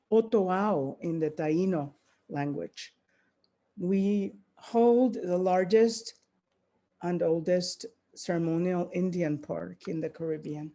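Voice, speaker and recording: A woman's voice: lowish voice, mellow. A woman from Puerto Rico giving a reflective keynote talk over a video call, with many fillers and restarts. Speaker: keynote speaker.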